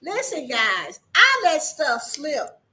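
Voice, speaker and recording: A woman's voice: high-pitched, slow. A woman business owner in a casual chat, teasing her friend and laughing often as she tells stories. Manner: laughing, teasing, animated storyteller.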